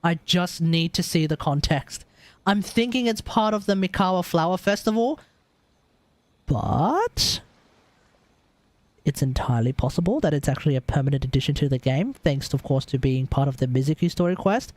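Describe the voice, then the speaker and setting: A woman's voice: low-pitched, animated, normal speed, a little mellow, dry recording. A woman doing casual, chatty live game commentary, thinking aloud as she plays. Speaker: game streamer. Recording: game music behind.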